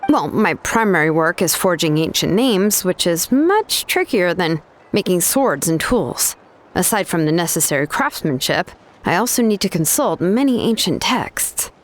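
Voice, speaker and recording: A woman's voice: fairly low pitch, very animated, normal speed. A woman voicing an easygoing female artisan and name engraver in a video game, a chatty storyteller who drops casual fillers.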